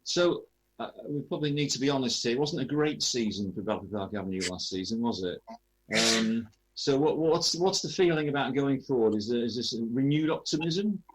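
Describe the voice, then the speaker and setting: A man's voice: medium pitch, lively intonation, brisk, rough recording. A man interviewing in a chatty sports radio style, hesitantly rephrasing his questions as he goes.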